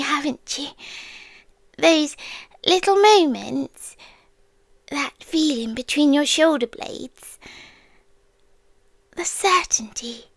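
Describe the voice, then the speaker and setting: Woman acting a high, whispery otherworldly stalker girlfriend. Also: no room sound, exaggerated voice. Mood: quietly menacing. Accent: British. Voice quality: soft, breathy, often slipping into whispers. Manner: slow, deliberate, intimate, teasing. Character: otherworldly, possessive stalker girlfriend watching humans, eerily tender. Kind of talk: yandere ASMR roleplay.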